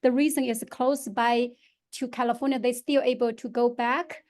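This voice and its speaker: A middle-aged woman with a Mandarin Chinese accent, a real estate agent speaking halting English full of fillers and restarts in an interview. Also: fairly high pitch, fairly even intonation, a little mellow. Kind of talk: interview guest, unscripted.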